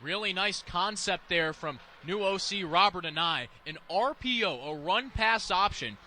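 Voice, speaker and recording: Guy doing football color commentary on radio, bright high voice, explains plays, some background noise. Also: lively intonation, unhurried. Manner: explanatory, opinionated.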